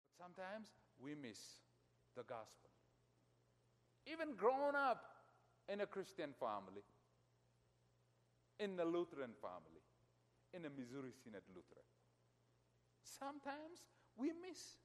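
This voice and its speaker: A middle-aged man from Ethiopia preaching a sermon as a pastor. He speaks emphatically to his congregation, his loudness surging and falling. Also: high voice, huge pitch swings, unhurried, pauses often. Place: church. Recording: long church reverb.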